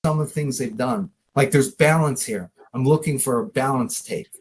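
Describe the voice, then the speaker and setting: A man's voice: medium pitch, moderate pace. A man debating online in an informal, emphatic, argumentative conversational way. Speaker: debate participant. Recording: clear background noise.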